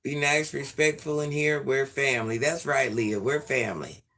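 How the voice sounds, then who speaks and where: A man in his forties, hoarse from illness, chatting sassily with viewers on a livestream and reading out their comments. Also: medium pitch, normal speed, pushed and bright. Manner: sassy, chatty, addresses viewers by name. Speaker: livestream host.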